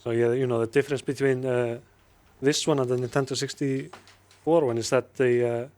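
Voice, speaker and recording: A man's voice: medium pitch, fairly even intonation, moderate pace, a little mellow. A man co-commentating a live racing video game match in a casual, hesitant way. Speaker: esports co-commentator.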